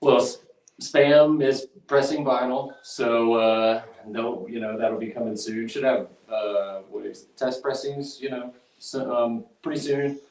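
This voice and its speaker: A man's voice: mid-range voice, steady tone, unhurried, darkish tone. Echoey, laid-back man around forty. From United States. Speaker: band member, interview guest. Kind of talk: casual chat. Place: echoey room. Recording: echoey room, distant from mic.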